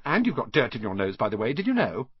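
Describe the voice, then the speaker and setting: Man as a high, rapid, sniffy schoolgirl. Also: sing-song, husky, dry recording, highs cut off, exaggerated voice. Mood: bossy, sniffy. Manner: sniffy, brisk, officious. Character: bossy, prim young schoolgirl know-it-all.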